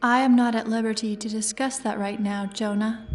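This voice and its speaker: A woman playing a calm, polite synthetic android in a sci-fi audio drama, formal and measured. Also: a little high, far from the mic, close to an everyday voice. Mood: calm, guarded. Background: music.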